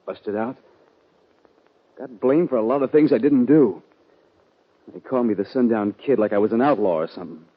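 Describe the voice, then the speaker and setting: A man playing an anguished young outlaw cowboy with a Western drawl and husky voice, in 1950s radio western style. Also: mid-range voice, lightly acted. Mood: weary, wounded. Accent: American Western drawl. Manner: emotional, halting, pleading. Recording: dull vintage 1950 radio recording.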